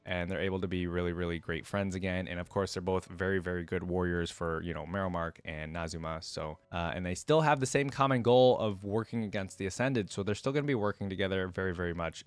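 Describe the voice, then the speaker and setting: A young man hosting a reaction video, chatting casually and with enthusiasm as he reads and reacts. His voice sits low. It is recorded close on a desk mic at home, dry with no room sound. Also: dry recording. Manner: casual, enthusiastic, says "like" a lot. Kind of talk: reading a story aloud and reacting.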